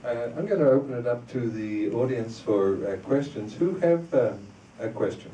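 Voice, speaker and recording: A man moderating a festival Q&A, hesitant and relaxed, with an easy joking manner. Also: mid-range voice, moderate pace, a little hiss or hum. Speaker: panel moderator. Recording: echoey hall, far from mic.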